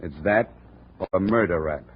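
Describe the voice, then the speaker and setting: A man's voice: deep voice, normal speed, slight background noise. A man as a hardboiled insurance investigator, narrating and acting in a 1950s radio drama with a dry, clipped delivery. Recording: tinny old radio recording.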